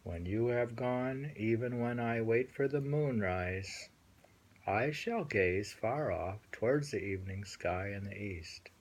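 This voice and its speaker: A man with a husky voice reads poems aloud at an unhurried pace. He is halting, repeating phrases and adding casual, musing remarks as he goes. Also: lowish voice. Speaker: reader and host. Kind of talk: reading translated poems aloud with casual commentary.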